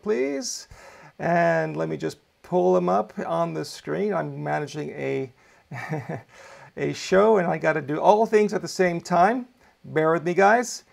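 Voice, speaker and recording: A man's voice: sits high, vocal fry. A middle-aged man from Greece, hosting a live online show from a small video studio with enthusiastic energy. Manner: upbeat, enthusiastic presenter. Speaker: host.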